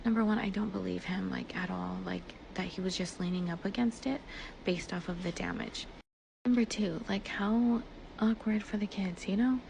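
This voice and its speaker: A woman's voice: mid-range voice, steady tone, moderate pace, gravelly, background noise. A woman telling a casual, personal story about her day in an emphatic, conversational way, acting out bits of dialogue.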